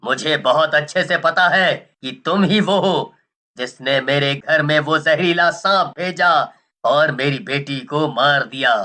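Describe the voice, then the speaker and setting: A man playing a dramatic, grieving and protective village father in a moral-story cartoon, with halting delivery. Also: a little high, sharp tone. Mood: grave, accusing. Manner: dramatic, halting, repeats words.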